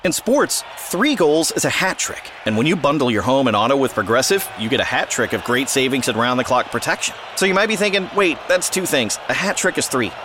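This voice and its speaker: A woman's voice: a bass voice, animated, brisk, hardly any pauses, noisy background. A woman voicing a scripted radio commercial with a perky, bubbly comic delivery. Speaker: ad spokesperson. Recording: ad with background music.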